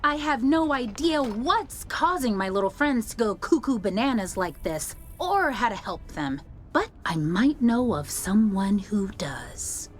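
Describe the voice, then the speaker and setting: Friendly young female wizard, video game, chatty, lively intonation, light music behind. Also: a little high, measured pace. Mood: relieved, chatty. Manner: expressive, chatty, earnest. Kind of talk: video game character acting.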